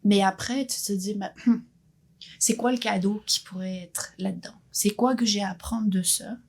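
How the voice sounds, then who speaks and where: Interview guest: woman, 50s, husky and a bit gravelly, earnest and reflective. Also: medium pitch, fairly even intonation, moderate pace, a little mellow. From Belgium. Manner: earnest, thoughtful, frequent restarts. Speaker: guest entrepreneur.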